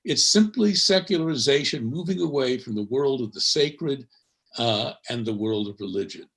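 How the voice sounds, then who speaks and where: An older man speaking as a professor on a panel. He lectures in a measured, explanatory way, pausing on fillers as he builds his points. His voice sits at an ordinary middle pitch. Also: fairly bright tone. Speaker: history professor. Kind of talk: academic panel discussion.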